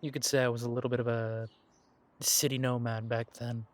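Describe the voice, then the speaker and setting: A young man voicing an easygoing werewolf man in an audio roleplay, talking to the listener in a relaxed, reminiscing way and chuckling often. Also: medium pitch, fairly quick, lightly acted. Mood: nostalgic.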